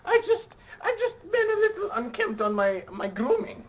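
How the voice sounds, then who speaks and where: A man's voice: very high-pitched, big pitch swings, normal speed, pitched above the performer's own voice. A man voicing a sly, crude wolf pretending to be a sweet old granny in a puppet comedy. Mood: sly, fake sweetness. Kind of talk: improvised puppet comedy skit.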